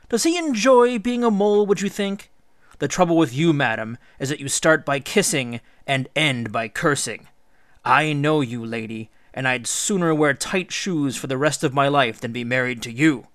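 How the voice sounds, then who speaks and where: A bold hero king from an old myth , acted by a man reading aloud, sardonic and taunting. His pitch lifts on scornful questions, and the sound is close and dry. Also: expressive, normal speed, fairly bright tone, no echo.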